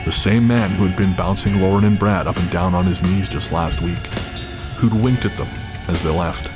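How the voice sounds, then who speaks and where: A man's voice: low-pitched, moderate pace, music in the background, lightly acted. A man reading as the grave storyteller of a suspense audio drama, in scripted dramatic narration. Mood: grave, suspenseful.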